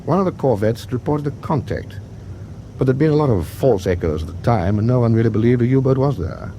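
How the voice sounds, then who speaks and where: An actor playing a middle-aged British warship captain in a wartime radio drama, reflective and kindly, with measured, clipped officer speech. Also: male voice, mid-range voice, expressive, warm tone, slight background noise, lightly acted. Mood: grave, haunted.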